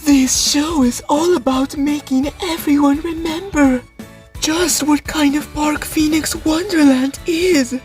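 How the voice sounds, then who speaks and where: Casting: woman as polite young male anime show director, high-voiced, unhurried, deliberate and quietly scheming. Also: faint music behind. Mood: composed, confident. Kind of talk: anime fan dub acting.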